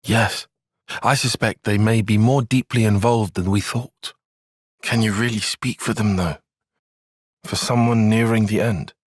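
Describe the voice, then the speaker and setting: Formal young tactician, husky edge. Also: male voice, lowish voice, fairly even intonation, no room sound, studio quality. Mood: dry, measured. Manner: precise, measured, wry, formal diction. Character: young male tactician, analytical, formal, dryly critical soldier. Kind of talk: video game cutscene voice acting.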